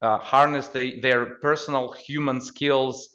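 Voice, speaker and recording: A man's voice: medium pitch, steady tone, a little slow, fairly bright tone. Ukrainian guy on a podcast explaining stuff, stop and start phrasing, medium voice, kinda dull lo-fi audio. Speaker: podcast guest, AI expert. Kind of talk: conversational expert commentary.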